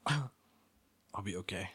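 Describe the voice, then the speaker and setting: Young male, deep pitch, crisp bright edge, deadpan casual chat, slightly sniffly. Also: slow pace. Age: young adult. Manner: deadpan, unfazed. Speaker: podcast co-host or guest.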